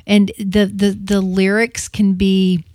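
A woman's voice: medium pitch, flat delivery, slow pace, darkish tone, dry recording, studio quality. A woman in her fifties, a radio host talking casually and confessionally on air, with many restarts. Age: 50s.

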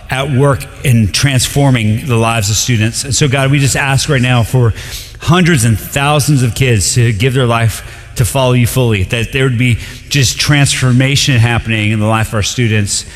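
A man from Colombia preaching to a congregation, joking and animated as he leads into the text. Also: mid-range voice, normal speed. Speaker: pastor. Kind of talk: sermon. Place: church sanctuary. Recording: long hall reverb.

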